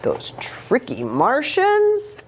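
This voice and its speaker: A woman pastor reading a children's story aloud, expressive and dramatic, speaking directly to children. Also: high voice, drawn-out words, slight background noise.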